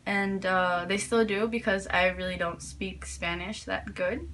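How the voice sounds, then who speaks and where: Teen girl from New York, interviewee, halting filler-heavy answers, faint noise behind. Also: mid-range voice, steady tone, fairly bright tone. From New York, USA. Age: teens. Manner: hesitant, many fillers and restarts.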